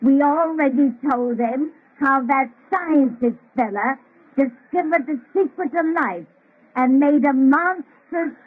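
A woman's voice: sits high, slow pace. An actress playing a gleeful, folksy hundred-year-old witch who tells horror stories on an old radio show. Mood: gleeful, sinister. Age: older. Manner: folksy, rustic, gloating. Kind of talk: 1930s radio horror host acting. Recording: thin, tinny old radio recording.

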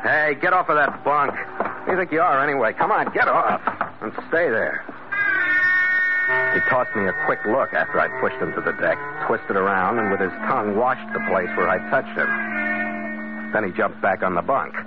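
Man acting as hard-boiled sea captain, blunt, world-weary, low-pitched, tinny old radio broadcast. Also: lively intonation, normal speed, over loud music, natural voice. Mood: irritated. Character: gruff, world-weary male sea captain narrating his adventure. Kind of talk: old-time radio drama acting with first-person narration. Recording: scratchy, phone-like vintage radio recording with hiss.

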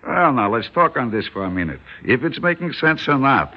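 An actor playing a calm, stubborn older shopkeeper who reasons in wry questions and Yiddish-inflected phrasing, in old-time radio style. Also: male voice, medium pitch, brisk. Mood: shrewd, persuasive. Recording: muffled old radio recording.

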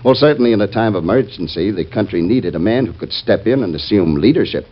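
A man's voice: fairly low pitch, lively intonation, fast talker. Male American announcer for vintage radio. Folksy, rhetorical, widely swinging intonation, dull old transcription sound. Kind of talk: scripted old-time radio narration.

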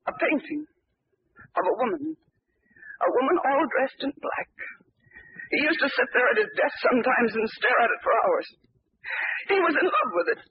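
A woman's voice: high voice, lively intonation, normal speed, long pauses, slightly rough. An actress playing an anxious woman whose husband has died, questioned by the police, in a 1930s radio drama. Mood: distraught. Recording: muffled old radio broadcast.

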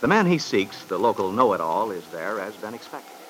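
A man's voice: lowish voice, very animated, fairly quick, slight background noise. A man narrating a classic radio drama from a script.

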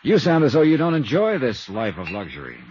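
A man's voice: mid-range voice, huge pitch swings, brisk, darkish tone, slight background noise. A man playing a wry, clipped American private detective in a 1950s radio drama, speaking colloquially. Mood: casual, probing. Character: wry, brisk American male insurance detective. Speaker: private investigator and narrator. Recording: dull 1950s radio recording, music behind.

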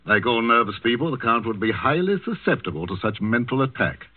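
A man's voice: medium pitch, animated, brisk, narrow, tinny audio, natural voice. A man acting an urbane, unflappable psychiatrist with a sardonic, slyly defiant manner in a 1940s radio drama. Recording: thin, band-limited old radio recording.

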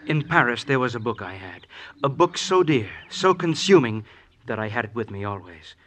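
A man's voice: mid-range voice, very animated, moderate pace, slight background noise. An actor playing an intense young male zealot, formal, courtly and fervent, in an old-time radio drama. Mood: fervent, worshipful. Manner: fervent, formal, swelling from hushed to forceful.

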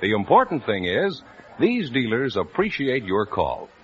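A man announcing on a radio quiz show and reading sponsor ads in an emphatic, booming delivery. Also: wildly swooping pitch, a little slow. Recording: dull old radio broadcast.

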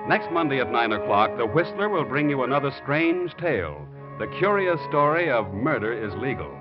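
Animated American man announcing on vintage radio. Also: mid-range voice, moderate pace, over music. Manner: emphatic, polished sales delivery. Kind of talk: 1940s radio announcing, scripted. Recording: muffled 1940s radio recording.

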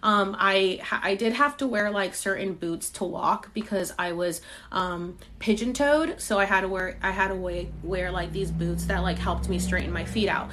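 A woman's voice: medium pitch, normal speed, hardly any pauses, a bit bright, slight background noise. A young woman from Puerto Rico hosting a podcast, chatting loosely through comments on a live stream from her phone, full of ums and likes and casual swearing. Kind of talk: casual rambling chat. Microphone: phone during a live stream.

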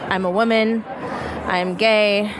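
A woman's voice: medium pitch, steady tone, slow. A woman from Mexico speaking at a march, frank and indignant. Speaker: march participant. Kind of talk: street interview answer. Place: outdoors at a street march. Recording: street noise behind.

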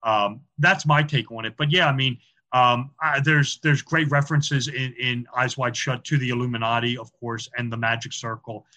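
A man's voice: mid-range voice, fairly even intonation, moderate pace, pushed and bright. A man talking as a podcast guest about film symbolism, chatty and explanatory, with frequent fillers and repeated words. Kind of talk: casual interview talk.

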